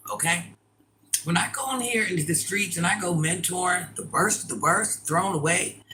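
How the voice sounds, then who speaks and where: Woman, deep voice, blunt and sassy, bright forceful tone, faint background noise. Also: poor audio. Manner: blunt, sassy, talks straight to commenters. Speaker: online personality answering viewer comments. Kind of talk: casual livestream chat.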